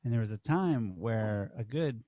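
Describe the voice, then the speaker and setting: A man co-hosting a podcast, a dance teacher speaking in a halting, heartfelt way with many restarts. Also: on the low side, animated, dark tone, no room sound, muffled recording. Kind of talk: casual podcast conversation.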